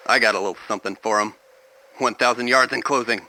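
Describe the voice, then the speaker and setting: A man's voice: medium pitch, steady tone, fast, bright, forceful tone, rough recording. A man playing a reckless, joking, brave bomber pilot over a cockpit radio feed in a radio drama. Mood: excited, tense. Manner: informal, joking.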